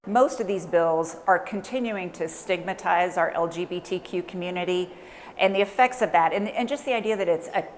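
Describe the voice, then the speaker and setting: A woman's voice: lowish voice, fairly quick, a little hiss or hum. A woman answering in a news interview, speaking unscripted.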